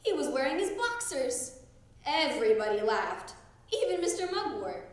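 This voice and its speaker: A young woman reading a children's storybook aloud with expressive narration. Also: high voice, moderate pace. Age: young adult. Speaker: drama student reading aloud. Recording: very echoey room.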